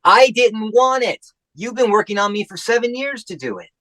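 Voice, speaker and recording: A man's voice: high voice, expressive, moderate pace, sharp tone, dry recording. A man playing a grumpy, sleepy husband with crabby, sarcastic wit in an old-time radio comedy.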